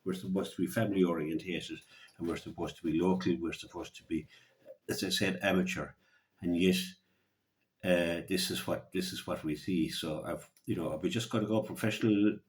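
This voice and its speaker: Very deep-voiced Irish man talking sport. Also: fairly even intonation. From Ireland. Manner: halting, repeats words as he gathers his thoughts. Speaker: local radio sports commentator. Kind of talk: unscripted sports commentary and opinion.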